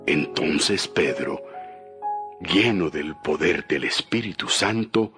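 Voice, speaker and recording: A man narrating a dramatized Bible from a script in a solemn, deliberate storytelling manner. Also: a bass voice, animated. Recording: music bed behind the voice.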